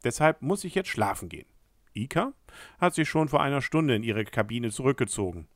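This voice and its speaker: A man's voice: mid-range voice, lively intonation, fairly quick, no room sound. A man reading a children's story aloud as a friendly letter, addressing the listener directly. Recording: dry close recording.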